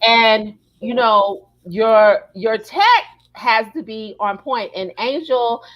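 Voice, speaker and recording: A woman hosting a casual live podcast, chatting and reading aloud in an expressive, emphatic way. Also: a little high, slow, poor audio. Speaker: podcast host.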